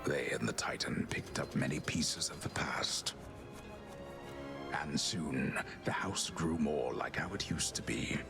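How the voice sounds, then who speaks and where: A solemn old storyteller narrating a mythic game. His voice is exceptionally deep, hushed and gravelly, with grave literary phrasing over clear music. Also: unhurried, whispered, full character voice. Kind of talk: scripted video game narration.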